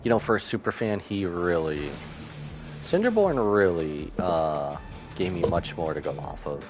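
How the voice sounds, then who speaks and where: A deep-voiced man streams a game, reacting informally in slangy chat. His intonation is lively, with faint music behind him. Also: measured pace. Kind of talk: casual live reaction commentary.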